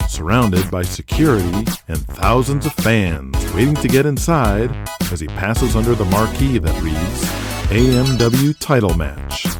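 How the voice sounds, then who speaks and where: A man delivering scripted, dramatic narration as the narrator of an audio drama. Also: on the low side.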